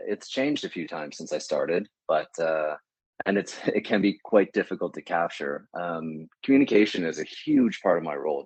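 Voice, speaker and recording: A man's voice: a bass voice, husky, no room sound. A man in his thirties with a Canadian accent, answering interview questions in a casual, thoughtful, slightly hesitant way. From Canada, Prince Edward Island. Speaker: podcast guest, media agency CEO.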